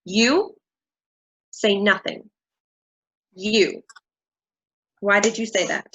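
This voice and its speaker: A woman's voice: medium pitch, extremely slow, fairly bright tone, highs cut off, slight background noise. An actress in a staged reading playing a domineering, explosive woman who interrogates with a commanding, clipped delivery. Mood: stern, interrogating.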